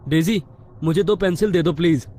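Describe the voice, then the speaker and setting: An actor playing a bossy young college student in an audio horror story, speaking in an instructive, insistent way. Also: male voice, fairly high pitch, normal speed, a little mellow, natural voice. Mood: commanding. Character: young male college student, bossy, serious about spirit games.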